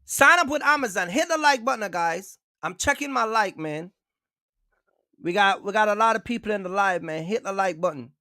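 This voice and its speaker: Bright-voiced man ranting on a livestream. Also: sits high, lively intonation, moderate pace, no echo, clean studio sound. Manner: emphatic, repetitive, keeps asking viewers for likes. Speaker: trucking channel host. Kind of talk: casual livestream rant.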